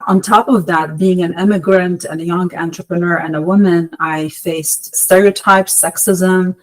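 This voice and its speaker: Female podcast guest from Lebanon: measured, articulate, motivational, emphatic phrasing. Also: fairly low pitch, steady tone, rough recording. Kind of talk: prepared motivational interview answers.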